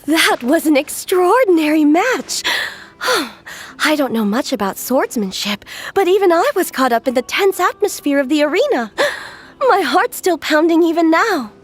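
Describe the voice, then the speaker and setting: A woman's voice: very high voice, very animated, moderate pace. A woman playing an enthusiastic, friendly young woman in a video game, speaking expressively.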